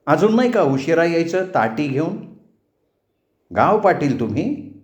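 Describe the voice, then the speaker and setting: A man voicing a fearless, sharp-tongued young village girl with an indignant, assertive manner in a story. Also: on the high side, very animated, unhurried, reverberant, highs cut off, pitched above the performer's own voice.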